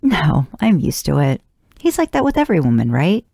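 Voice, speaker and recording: A guarded woman with dry, self-deprecating humour, put on by a woman reader. She deflects briskly in a mellow, creaky voice, as in an audio story. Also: deep voice, wildly swooping pitch, no echo, heavily acted.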